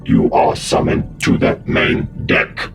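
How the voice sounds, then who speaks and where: A man playing a cold, ruthless Cyberman controller in a sci-fi audio drama, giving halting, imperious commands through robotic voice processing. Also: music in the background. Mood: cold, commanding.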